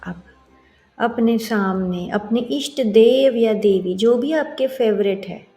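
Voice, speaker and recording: A woman leading a guided meditation as a yoga instructor, soothing and gently instructing with long pauses. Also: on the high side. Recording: roomy echo. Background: soft music.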